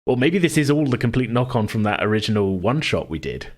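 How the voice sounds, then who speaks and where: A man brainstorming casually as a podcast host, thinking aloud in a halting way. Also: medium pitch, big pitch swings. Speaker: tabletop role-play podcast host and game master.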